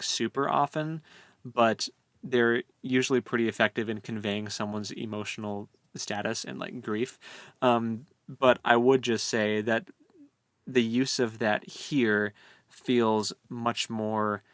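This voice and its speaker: A man's voice: medium pitch, steady tone, slow pace, a bit bright, no room sound. A man co-hosting a book discussion in a casual chat style, thinking aloud in a hesitant way. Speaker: podcast co-host.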